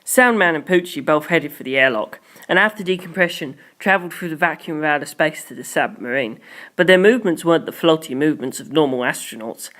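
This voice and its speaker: Expressive amateur storyteller reading fiction. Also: mid-range voice, brisk. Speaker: amateur audiobook narrator.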